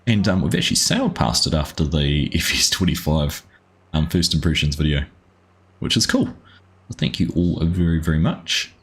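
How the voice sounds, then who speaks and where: A New Zealand man with a very deep, husky voice hosts a relaxed gaming livestream. He rambles easily between the game and his chat, greeting viewers by name. His talk is loose and unhurried, with plenty of fillers. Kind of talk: casual live-stream chat while playing.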